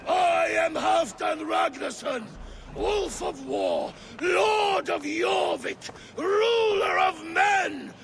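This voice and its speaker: A man's voice: very high-pitched, expressive, extremely slow, vocal fry, shouting, over a noisy crowd, very noisy, heavily acted. An actor playing a proud, paranoid, raging Viking king in a video game, declaiming with bombast. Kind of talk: dramatic video game acting.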